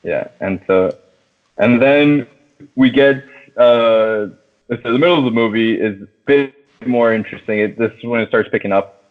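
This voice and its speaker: A man's voice: mid-range voice, moderate pace. Man chatting casually over a phone-like line. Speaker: podcast co-host. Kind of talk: casual film discussion. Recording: thin, phone-like sound.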